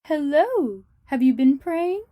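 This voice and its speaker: Woman playing a high, gracious charity matron. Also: sing-song, takes it slowly, dark tone, full character voice. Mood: cheerful greeting. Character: gracious, sociable woman managing a charity care home. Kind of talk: radio drama acting.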